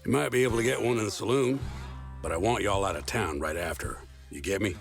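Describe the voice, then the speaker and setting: Low-voiced, no-nonsense sheriff played by a man. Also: fast, vocal fry, soft background music. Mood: stern. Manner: authoritative, no-nonsense. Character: firm, fair Old West sheriff, unimpressed by troublemakers. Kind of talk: audio drama western acting.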